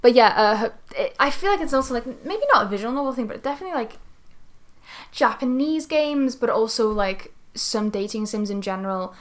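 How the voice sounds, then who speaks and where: A woman's voice: fairly high pitch, expressive, a little fast. A woman co-hosting a podcast, chatting casually about video games in an informal, sweary way peppered with "like". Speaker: podcast co-host.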